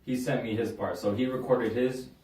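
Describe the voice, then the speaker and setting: A man's voice: medium pitch, steady tone, moderate pace, crowd noise. A young man from San Diego, a DJ being interviewed, talking in a laid-back, slangy way with lots of fillers. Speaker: guest DJ. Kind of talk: casual interview. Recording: roomy sound, mic at a distance, faint ambience.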